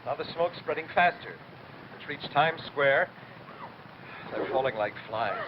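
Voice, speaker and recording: A man's voice: on the high side, lively intonation, unhurried. A man playing a grimly steadfast radio announcer in a 1930s radio drama, reporting disaster in a solemn, declamatory way. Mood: grave. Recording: dull old broadcast, clear background noise.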